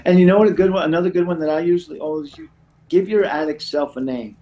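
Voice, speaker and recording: A man's voice: a little high, quick pace, mellow. A middle-aged man chatting as an interview guest, a recovery counselor who rambles and often says "you know". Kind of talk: conversational interview, sharing personal anecdotes.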